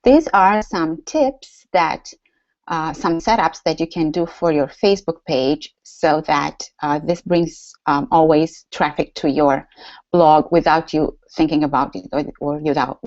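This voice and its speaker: A woman's voice: sits low, measured pace, a little mellow, dry recording, dull audio. A woman with a Romanian accent hosting a live online workshop, chatty and full of fillers and restarts. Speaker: workshop host. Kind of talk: live webinar teaching.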